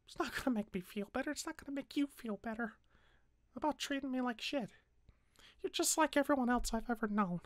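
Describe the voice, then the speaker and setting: A woman's voice: sits high, no room sound, clean studio sound. A woman playing a bitter, despairing little flower creature in a roleplay drama, with a wounded, hesitant, weary way of speaking.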